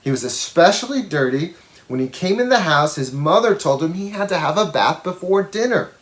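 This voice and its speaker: Animated man reading aloud to children. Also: fairly high pitch, fairly quick. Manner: expressive, explains pictures and checks in with listeners. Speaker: educator reading a picture book to schoolchildren. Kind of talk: read-aloud storytelling with asides and questions to young listeners.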